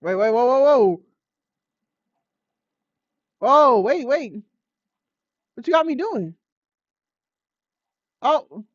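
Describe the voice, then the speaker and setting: An American woman streaming a visual novel, switching between dramatic reading and slangy, profane, expressive reactions. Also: sits high, extremely slow, long pauses, no echo. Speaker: gaming streamer. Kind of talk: animated let's play commentary and dramatic read-aloud of a visual novel. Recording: slightly dull audio with music behind. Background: game music.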